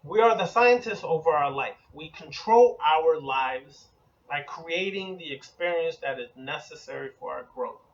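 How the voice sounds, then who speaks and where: A man with a slightly raised pitch teaches in a measured, deliberate way, stacking his points one after another in lists. The recording is dull and lo-fi, and he sounds set back from the microphone. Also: expressive, distant mic. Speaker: occult teacher. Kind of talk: spoken lecture.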